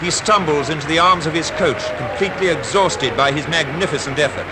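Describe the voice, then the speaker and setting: A man's voice: on the high side, a little fast, a loud crowd. A man with a British Received Pronunciation accent delivers formal, dramatic scripted newsreel commentary in a 1950s style. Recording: noisy 1950s archive newsreel soundtrack.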